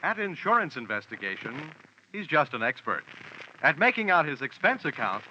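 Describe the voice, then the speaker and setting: A male announcer introducing a 1940s radio show with a booming, showy delivery. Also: very animated, moderate pace, sharp tone, a little hiss or hum. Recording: muffled old radio recording over music.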